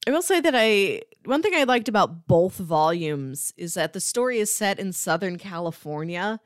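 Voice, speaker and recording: A woman's voice: lowish voice, animated, moderate pace, no echo, clean studio sound. A woman co-hosting a podcast, chatting casually about books and acting out bits as she goes. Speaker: podcast co-host discussing comics. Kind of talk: casual chat, book review.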